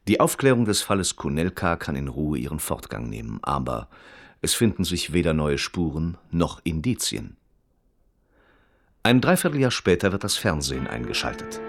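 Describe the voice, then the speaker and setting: Deep-voiced, precise crime-story narrator. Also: male voice, very animated, normal speed, creaky, full character voice. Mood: matter-of-fact. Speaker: narrator of a radio crime drama. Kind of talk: scripted radio drama narration.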